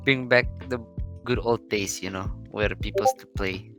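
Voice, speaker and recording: A man's voice: on the low side, moderate pace, a bit bright, soft background music. A young man in casual podcast chat who laughs a lot and mocks his friends. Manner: laughing often, mocking. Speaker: podcast co-host.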